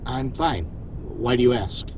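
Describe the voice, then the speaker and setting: A man's voice: mid-range voice, expressive, takes it slowly, darkish tone, a little hiss or hum, rough recording. A man playing an earnest, reflective starship captain in a science fiction audio drama. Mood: reflective, sincere.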